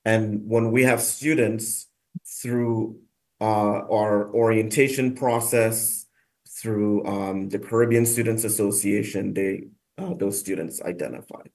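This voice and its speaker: Halting, low-voiced man in conversation. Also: steady tone, creaky, darkish tone. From United States, South Florida. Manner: halting, many pauses and repeats. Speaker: college staff member, guest. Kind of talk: hesitant conversational interview answers.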